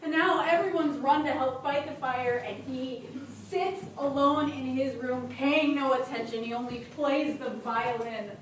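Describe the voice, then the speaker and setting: A woman's voice: high voice, fairly even intonation, a little slow, few pauses, a little hiss or hum. An actress playing a young woman worn down by dull work and despair, in theatrical stage delivery. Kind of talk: stage play acting. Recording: echoey stage recording from far off.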